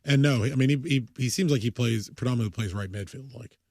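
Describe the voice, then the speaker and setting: Husky-voiced man rambling about soccer. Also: medium pitch, fast talker, a little mellow, dry recording, studio quality. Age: 30s. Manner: rambling, self-interrupting, swears casually. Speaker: podcast co-host. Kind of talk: casual sports podcast chat.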